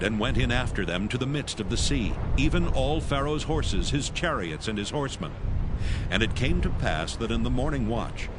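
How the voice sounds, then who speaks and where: A man narrating scripture in a measured, solemn manner for a dramatized audio Bible. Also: medium pitch, noisy background. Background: loud music.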